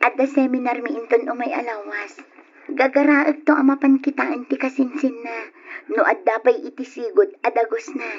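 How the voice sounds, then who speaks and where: A woman's voice: fairly high pitch, steady tone, moderate pace, close to an everyday voice. A woman acting an affectionate, worried young wife in an old Ilocano radio drama. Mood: worried, subdued. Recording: dull, noisy old radio recording.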